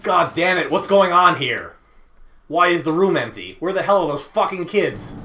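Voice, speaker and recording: A man voicing a furious male company boss who shouts threats and curses in a video game story. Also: on the high side, expressive, normal speed, slight background noise, voice pushed up. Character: furious, foul-mouthed male company boss, a raging villain. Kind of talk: dramatic reading of a video game script.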